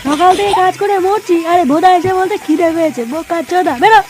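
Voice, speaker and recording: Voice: very high-pitched, fast, a little mellow. An actor voicing a foul-mouthed, hot-tempered cartoon village slacker, crude and exclamatory, in squabbling comedy dialogue. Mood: grumbling.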